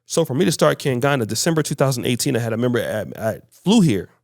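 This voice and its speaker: A man's voice: medium pitch, expressive, fast talker, creaky, darkish tone, dry recording, clean studio sound. A man hosting a podcast discussion, often stumbling and repeating words as he searches for his phrasing. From United States. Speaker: podcast host.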